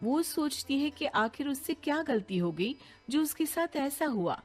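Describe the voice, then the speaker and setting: A woman's voice: high-pitched, animated, unhurried. Give me a woman narrating stories over music. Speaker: story narrator. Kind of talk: scripted story narration.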